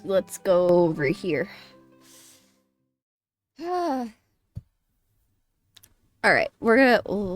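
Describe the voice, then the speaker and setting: A young woman commenting casually as she streams a game. Her mid-range voice is very lively and informal, recorded close and dry with faint music behind. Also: medium pitch, no room sound. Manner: informal, giggly, expressive. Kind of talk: casual live playthrough commentary.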